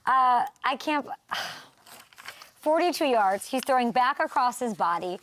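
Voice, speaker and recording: A woman's voice: high-pitched, animated, takes it slowly, fairly bright tone, slight background noise. A woman hosting a casual sports talk show, chatty and teasing as she interviews a guest. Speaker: host.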